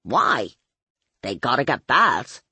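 A woman voicing a blunt, belligerent, streetwise male vampire sidekick with a husky, complaining delivery in an audiobook. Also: extremely low pitch, big pitch swings, slow, sharp tone, dry recording, pitched below the performer's own voice, exaggerated voice. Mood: indignant.

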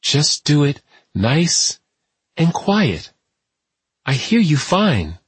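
A man voicing a troubled, paranoid woman in an audiobook, soft and whispery, shifting between bewildered, bitter and weary. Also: a little high, huge pitch swings, extremely slow, slightly rough, no echo, voice pushed up, exaggerated voice. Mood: weary, detached. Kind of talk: audiobook character voice.

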